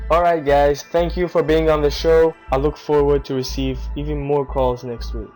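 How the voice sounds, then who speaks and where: A man with a fairly high voice hosts a community radio show. He reads his announcements in a scripted presenter's style, with faint guitar music behind him. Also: flat delivery, moderate pace, a little mellow, rough recording.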